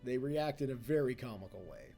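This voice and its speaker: A man's voice: medium pitch, a little fast, creaky, dark tone, faint music behind. A young man giving casual, rambling commentary while playing a game, often talking to the game and poking fun at himself. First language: English. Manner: rambling, self-mocking, talks to the game. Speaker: let's play host.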